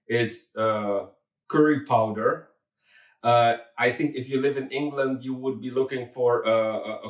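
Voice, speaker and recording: A man with a Dutch accent, Dutch his first language, explains a home recipe in a relaxed, halting way full of fillers and restarts. His voice is mid-pitched, and it rings around a roomy kitchen from a little way off the mic. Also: distant mic. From Suriname. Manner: friendly, hesitant, many fillers and restarts. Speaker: cooking channel host. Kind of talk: casual home cooking demonstration.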